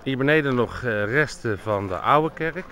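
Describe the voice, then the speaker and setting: A man filming a tour, calmly narrating what he sees at an outdoor site. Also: mid-range voice, moderate pace, a little hiss or hum. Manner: calm narrating comments on the site. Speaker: tour member filming the visit. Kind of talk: casual travel video narration. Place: outdoors at a building site near a church.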